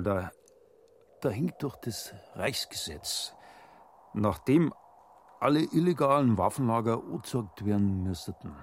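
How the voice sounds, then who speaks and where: Bavarian village guy, fairly low voice, uneasy and cautious, keeps probing, radio drama. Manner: hesitant, insistent. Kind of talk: German radio crime drama acting in dialect.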